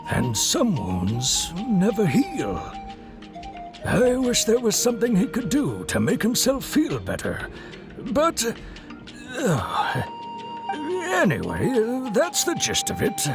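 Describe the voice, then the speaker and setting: A kindly shopkeeper from a game, creaky, rambly and folksy, music behind him. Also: male voice, high-pitched, heavily acted. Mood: sympathetic, regretful. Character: friendly adult male merchant, sympathetic and reflective. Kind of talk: scripted game dialogue.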